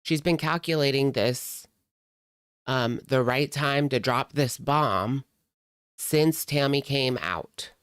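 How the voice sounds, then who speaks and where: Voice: medium pitch, slow pace, a bit bright, clean tone, no room sound, studio quality. A streamer giving casual live commentary in a blunt, sarcastic, conversational way. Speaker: reaction streamer.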